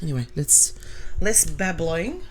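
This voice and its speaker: Croaky, nasal Australian woman. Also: lowish voice, very animated, a little slow, a little hiss or hum. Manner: blunt and sarcastic, with asides. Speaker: commentary vlogger. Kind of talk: casual commentary reading comments.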